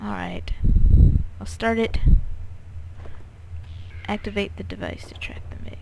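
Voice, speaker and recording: A woman's voice: medium pitch, sing-song, a little slow, vocal fry, whispered. A woman giving casual game playthrough commentary, hesitant and muttering to herself, recorded too close to the mic. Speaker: gaming video maker. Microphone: too close to the mouth. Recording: very noisy close mic, breath pops.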